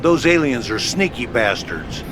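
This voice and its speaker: An actor playing a wry, brash headquarters officer relaying orders in clipped, informal military talk for a video game. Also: male voice, mid-range voice, big pitch swings, a little slow, noisy background. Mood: brisk, wry. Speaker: headquarters radio operator. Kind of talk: scripted game radio dispatches.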